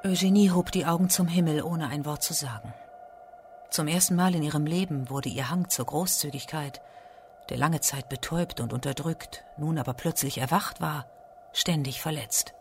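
A man's voice: a little high, normal speed, faint music behind. A man narrating a literary radio drama from a prepared script.

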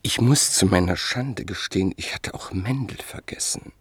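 A man telling a story in a radio drama, slow and measured, often dropping to a near whisper. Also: low-pitched, husky, dry recording. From Vienna, Austria. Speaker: narrator in a radio play. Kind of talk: 1950s radio drama acting.

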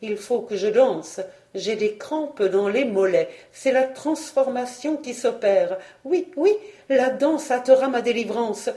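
A woman's voice: on the high side, expressive, slow pace, dark tone, reverberant. A woman voicing a vain, imperious frog fairy queen in a storybook reading, with theatrical, bossy exclamations and a cruel temper. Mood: excited vanity.

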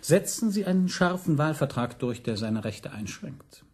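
A man playing a shrewd, deferential court adviser in a radio drama, with a raspy, gravelly voice. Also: a little high, lively intonation, moderate pace, a little mellow. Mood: sly, persuasive. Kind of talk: German radio drama acting.

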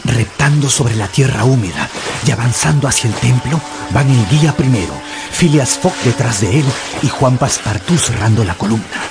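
A man's voice: mid-range voice, animated, normal speed, vocal fry, noisy background. A man narrating an adventure novel as dramatic scripted storytelling for radio. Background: music.

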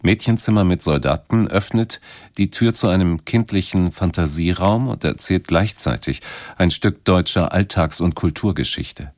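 A man's voice: deep voice, normal speed, a little mellow, dry recording, studio quality. A man introducing a radio play in scripted narration.

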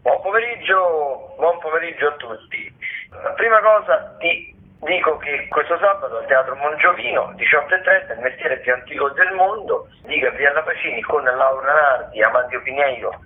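A man, a theatre critic giving a radio listings rundown in a halting, rephrasing manner. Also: fairly high pitch. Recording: thin tinny phone line.

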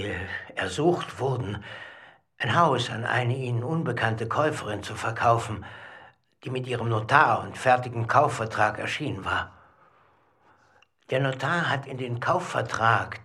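Husky, sober male survivor. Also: mid-range voice, bright, forceful tone, heavily acted. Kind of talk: documentary radio drama testimony.

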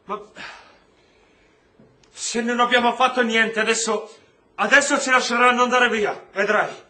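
A man acting an anguished, earnest detained taxi driver in a radio drama, speaking in halting, emotive phrases. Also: extremely high pitch, steady tone, bright, forceful tone, full character voice. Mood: bewildered. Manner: halting, emotive, repeating words. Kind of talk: stage-style radio drama acting, monologue.

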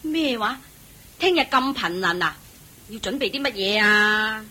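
An actress playing an old, bossy, penny-pinching female housekeeper in a radio drama, scolding and sharp-tongued. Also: mid-range voice, expressive, measured pace. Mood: startled. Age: older.

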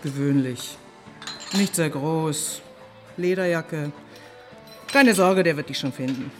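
An actress in a German radio drama playing a laconic, teasing barmaid. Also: female voice, low-pitched, slow pace. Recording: music and clinking crockery behind.

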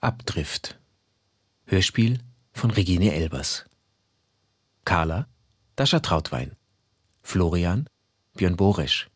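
A man's voice: low-pitched, animated, slow, long pauses, breathy edge, dry recording, clean studio sound. A man reading scripted radio drama credits as an announcer.

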